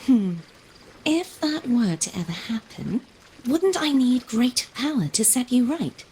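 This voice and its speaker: An actress playing an earnest, resolute young maiden knight in a video game, speaking in formal, measured phrases. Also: female voice, fairly high pitch, animated, dark tone, a little hiss or hum. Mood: solemn, determined.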